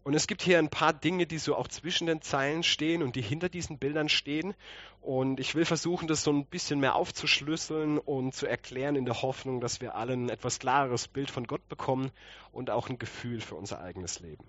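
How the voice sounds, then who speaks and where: A man's voice: medium pitch, fairly quick, fairly bright tone, no echo, dull audio. Male preacher, a touch congested from a cold. Conversational, explanatory delivery with rhetorical questions, recorded dry. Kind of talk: conversational sermon.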